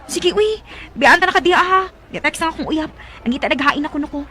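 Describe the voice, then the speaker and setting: A woman's voice: high voice, brisk, over music, lightly acted. An actress playing a gossipy, teasing young female friend in a Cebuano Bisaya radio drama. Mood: lively, teasing. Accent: Cebuano Bisaya, Philippines.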